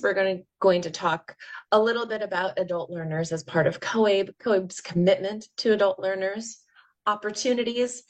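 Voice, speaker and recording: A woman hosting a webinar, giving an upbeat, welcoming and smoothly read introduction. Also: medium pitch. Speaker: webinar host.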